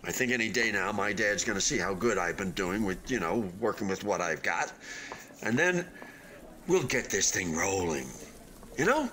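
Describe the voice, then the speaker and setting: A man's voice: fairly low pitch, animated, bright, forceful tone, a little hiss or hum. A man in a radio play voicing an unfinished hotel building, proudly deluded and eagerly optimistic, with a theatrical delivery that halts and then gushes. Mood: upbeat, hopeful. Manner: theatrical, halting then gushing, swooping emphasis.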